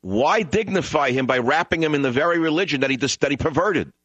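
A man's voice: a little high, animated, fast, fairly bright tone, no echo. A man hosting a call-in radio talk show, emphatic and combative, repeating lines for effect. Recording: dull radio broadcast sound.

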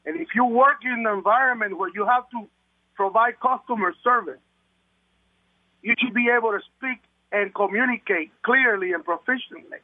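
A man's voice: extremely high pitch, normal speed, breathy edge, dry recording. Older man on a phone line. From Puerto Rico. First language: Spanish. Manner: earnest, repeats words when pressing a point. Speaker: caller. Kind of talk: talk radio call. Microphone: telephone.

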